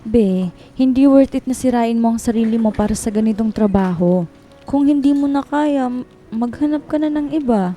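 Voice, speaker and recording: Woman as a protective filipino work bestie, super soft mellow voice, caring, radio drama with light music. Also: fairly high pitch, normal speed, close to an everyday voice. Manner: supportive, reassuring. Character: loyal, protective office friend, caring and principled.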